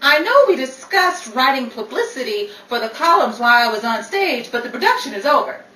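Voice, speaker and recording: A woman playing an assertive, quick-witted 1930s Hollywood actress and author in old-time radio style. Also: high voice, sing-song, sharp tone, full character voice. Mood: brisk, probing. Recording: roomy, distant, noisy live audience recording.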